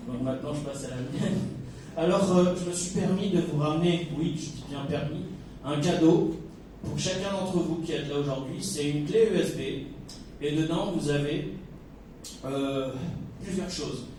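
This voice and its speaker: A man's voice: on the high side, normal speed, mellow. A man from Kabylie in Algeria giving an informal sermon to a congregation, chatty, with joking asides and many hesitations. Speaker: guest preacher. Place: church hall. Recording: echoey hall from far off, noisy.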